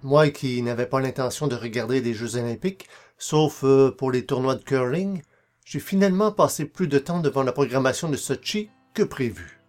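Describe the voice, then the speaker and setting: A man's voice: medium pitch, normal speed, a little mellow. Quebec man hosting a podcast, music behind. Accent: Quebec French. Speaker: podcast host. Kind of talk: scripted podcast narration.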